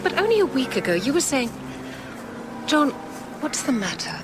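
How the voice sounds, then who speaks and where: An actress playing a concerned gentlewoman in a radio drama. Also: sits high, very animated, moderate pace. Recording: outdoor background noise.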